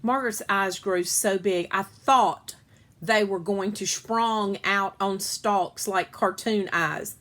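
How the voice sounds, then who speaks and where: A woman storyteller reads a children's book aloud in a mid-range voice. Her delivery is expressive, and she stretches key words for the listener. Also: medium pitch, slow pace.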